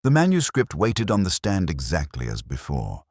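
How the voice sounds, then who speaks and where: Male actor as a brooding inspector, extremely low voice, expressive swings in pitch, measured literary delivery, audio mystery narration. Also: no room sound, studio quality, exaggerated voice. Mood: quietly intent. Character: world-weary, brooding male English police inspector recounting a case. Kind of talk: scripted first-person mystery narration.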